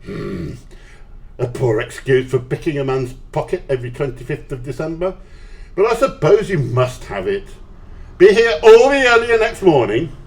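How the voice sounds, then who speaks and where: A man's voice: on the high side, very animated, normal speed. A man acting a miserly, grumpy old businessman in an audio play, curt, scornful and dismissive. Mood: grudging, irritated.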